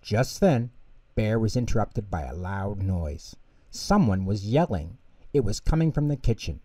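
A man's voice: fairly low pitch, expressive, measured pace, dark tone, no room sound, distant mic. A man reading a scripted children's bedtime story as the narrator.

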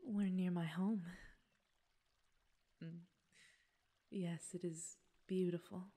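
A woman playing a gentle, coaxing fey queen with secret possessiveness in an ASMR roleplay, speaking breathily and formally, often near a whisper. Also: fairly low pitch, steady tone. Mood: sweet, gracious. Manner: slow, soothing, slightly formal speech. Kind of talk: ASMR roleplay audio drama.

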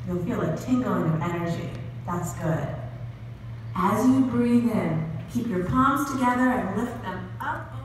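Distant woman guiding breathing in a booming gym. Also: a little slow, slight background noise. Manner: calm, step-by-step instructions. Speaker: PE teacher. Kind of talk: guided breathing exercise. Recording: very echoey gym, distant mic.

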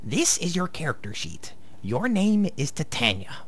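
A woman's voice: deep voice, very animated, a little slow, husky, heavily acted. A woman acting a bossy, touchy young game master in a live radio play, commanding and defensive. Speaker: actor.

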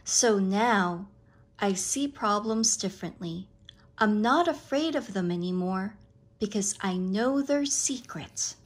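A woman reading a picture book aloud to children, with expressive, deliberate, dramatic phrasing. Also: medium pitch.

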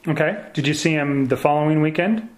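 Man, slightly high voice, investigator, short plain questions, phone interview. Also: brisk. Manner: brief, matter-of-fact questions. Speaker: investigating agent. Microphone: telephone.

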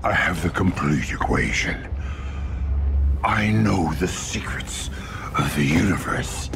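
Darkseid, a gravelly alien tyrant. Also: male voice, low voice, animated, slow, in a whisper, over music, exaggerated voice. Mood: menacing. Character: tyrannical alien overlord turned giddy and sweet. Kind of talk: video game cutscene voice acting.

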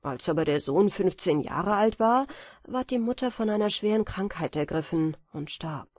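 A woman with speech reads a fairy tale as an audiobook narrator, in a soft, measured and expressive storytelling manner. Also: on the low side, dry recording, clean studio sound. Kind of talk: scripted fairy tale narration for bedtime listening.

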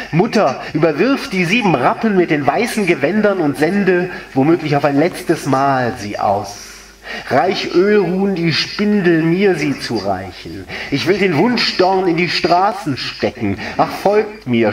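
A man voicing an anguished, lovelorn female water spirit in a solemn, lyrical dramatic monologue. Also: fairly high pitch. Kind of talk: literary dramatic monologue recitation. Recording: echoey room, distant mic, music underneath. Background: music.

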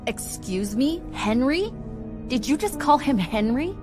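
A hard-nosed, commanding Marine in a motion comic drama. Her voice is fairly high and very animated as she snaps out blunt, suspicious orders. Loud music runs behind her. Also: unhurried. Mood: hostile, suspicious. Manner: blunt, terse, giving orders.

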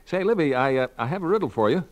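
A man's voice: on the high side, animated, fast, a little hiss or hum. A man working as a 1940s radio announcer, reading scripted announcements and advertising. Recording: vintage 1940s radio broadcast.

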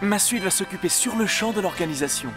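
A man's voice: high voice, animated, brisk. A man playing a noble, good-natured young king in a fairytale cartoon, speaking formally. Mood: gracious. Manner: formal, emphatic. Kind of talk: French-dubbed fairytale cartoon acting. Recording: loud music behind the voice.

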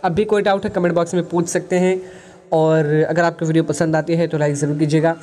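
A man with an Indian accent explaining software as a tutorial host, mixing in English phrases. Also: fairly high pitch, flat delivery, fast talker.

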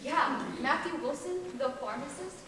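A teenage girl playing a gushing, ditzy young woman in a high school stage play. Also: normal speed, a crowd in the background, natural voice. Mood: excited. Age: teens. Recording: echoey auditorium stage from far off, noisy.

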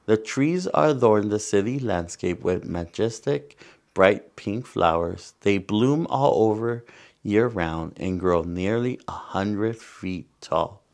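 A man with a Salvadoran accent hosts a children's storytime. His voice sits a little low and has a strong creaky, gravelly edge. He reads at an unhurried pace and stops to explain things to young listeners. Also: expressive, a little mellow. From San Salvador, El Salvador. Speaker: storytime host. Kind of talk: bilingual read-aloud of a children's picture book. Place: indoor room in front of wooden backdrop.

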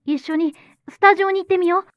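A woman's voice: very high voice, fairly even intonation, quick pace, lo-fi sound. A woman playing a determined young actress who talks earnestly and informally in a Japanese audio drama. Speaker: voice actor.

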